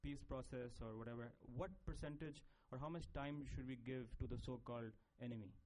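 A man's voice: medium pitch, steady tone, brisk, darkish tone, rough recording. A man, speaking as a panelist and explaining at length, with frequent fillers and restarts. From Pakistan. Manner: discursive, many fillers and restarts. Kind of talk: panel discussion. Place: conference panel stage.

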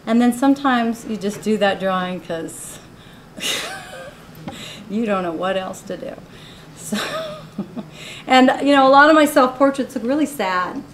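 A woman's voice: fairly high pitch, sing-song, slow pace, long pauses. A woman in her forties talks through her own artworks at a college gallery, candid and laughing often, her speech full of "you know." The live recording sounds roomy. There is a faint noise floor under it. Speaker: artist giving a gallery talk.

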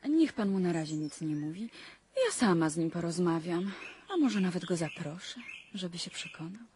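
A woman's voice: fairly low pitch, lively intonation, normal speed, a little mellow, slight background noise, natural voice. An actress playing a teasing, self-assured young lady of good family in a radio play, playful, probing and coaxing. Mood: confident, conspiratorial.

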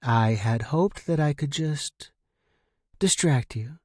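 A man's voice: on the high side, lively intonation, takes it slowly, darkish tone, no room sound, studio quality. A man voicing a coolly menacing young woman smuggler in an audio story, with casual, matter-of-fact delivery. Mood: calm, threatening. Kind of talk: audio story character reading.

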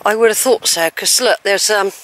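A woman's voice: medium pitch, moderate pace, a bit bright, slight background noise. A woman chatting to the camera as she walks a hillside trail, musing aloud and questioning which way to go, with sighs between her remarks. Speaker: hiking vlogger. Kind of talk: chatty talk to camera while walking. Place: outdoors on a hillside countryside trail. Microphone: handheld camera.

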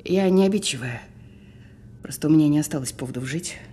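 A woman's voice: low voice, fairly quick, mellow, slight background noise, lightly acted. A woman acting in an audio drama as a weary, despairing, insistent caller who speaks bluntly and with resignation. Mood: resigned. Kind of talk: audio drama phone dialogue.

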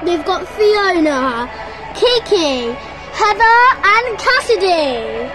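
A woman's voice: very high-pitched, very animated, takes it slowly, heavy background noise. A woman hosting a toy talent show skit at home in a bubbly announcer manner, on a camera mic. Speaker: show host narrator.

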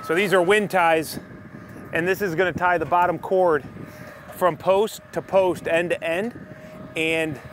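Man in his thirties, casual build narration, slightly high voice, wind and machine noise behind. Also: measured pace. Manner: relaxed, explanatory, chuckles often. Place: outdoors on a lift at a windy build site. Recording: windy outdoor site noise.

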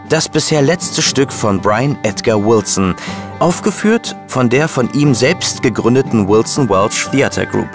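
An actor playing a detective's loyal, wry, plain-spoken sidekick and chronicler in a German audio drama. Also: male voice, mid-range voice, lightly acted.

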